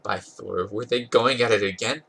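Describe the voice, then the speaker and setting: A man's voice: lowish voice, fairly even intonation, normal speed, sharp tone. A man with a congested voice doing an amused dramatic reading of a comic story, stumbling over words now and then and correcting himself. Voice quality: husky, congested. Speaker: podcast host reading fan fiction aloud.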